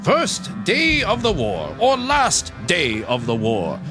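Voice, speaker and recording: An actor playing a ruthless, contemptuous Japanese army captain in a radio drama, commanding and cold, with deliberate, emphatic phrasing. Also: male voice, high-pitched, huge pitch swings, exaggerated voice. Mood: cold, menacing. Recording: music under the voice.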